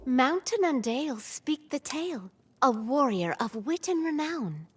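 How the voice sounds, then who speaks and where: A high-voiced female oracle in a fantasy game, chanting verse with wide melodic swings. She is solemn and incantatory, reciting like an old prophecy unfolding. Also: very animated, measured pace, slight background noise. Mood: solemn, mystical. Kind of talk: slow theatrical verse recitation.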